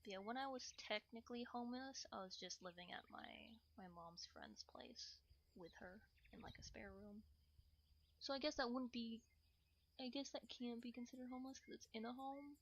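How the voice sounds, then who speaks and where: A woman's voice: on the high side, moderate pace, dull audio. A woman chatting casually on a livestream at home while doing chores, in a rambling, hesitant way with trailing phrases. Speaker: livestream host reading and answering chat. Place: small home bathroom.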